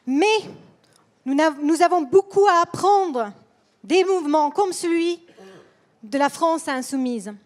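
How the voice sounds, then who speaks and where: A Swedish-accented woman delivers a political speech in a language that is not her own. Her voice sits very high, and she moves slowly and deliberately, pausing and repeating phrases as she finds her words. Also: lively intonation. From Sweden. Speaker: political speaker. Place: rally hall.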